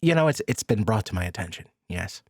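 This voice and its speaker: A man playing a gentle, dorky counselor in an audio drama, hesitant, stammering and self-deprecating. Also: lowish voice, animated, fast talker, breathy edge, no room sound, studio quality. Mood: amused. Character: gentle, awkward, dorky male counselor, good-humored. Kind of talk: naturalistic audio drama acting.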